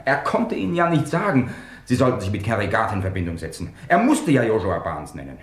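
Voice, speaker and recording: Radio crime play: cornered suspect, lowish husky voice, swinging from pleading to sardonic. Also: male voice, wildly swooping pitch, brisk, heavily acted. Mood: troubled, bitter. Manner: urgent, sardonic, emotional. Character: accused man, desperate, exasperated, sardonic. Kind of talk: German radio crime drama acting.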